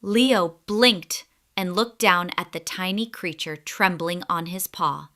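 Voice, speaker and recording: A woman storyteller reading a scripted children's story in an expressive, deliberate way. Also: on the low side.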